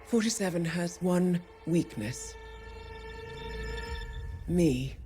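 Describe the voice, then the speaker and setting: A woman's voice: lowish voice, expressive, background noise. A woman playing a poised, calculating spymaster in a video game cutscene, composed and coolly determined. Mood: cool, resolute. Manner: composed, deliberate. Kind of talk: video game cinematic acting. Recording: music behind the voice.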